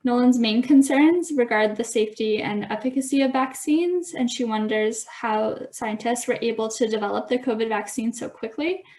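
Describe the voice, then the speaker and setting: A 25-year-old woman from Alberta presenting part of a team project in a measured, explanatory way. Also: a little high, darkish tone. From Alberta, Canada. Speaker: student presenter.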